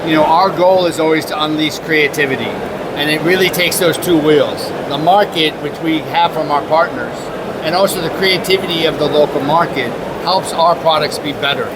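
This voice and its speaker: American male executive, loud, fluent, noisy trade floor. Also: fairly high pitch, normal speed. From United States. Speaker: company executive. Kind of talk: panel talk at a trade event. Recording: loud background noise.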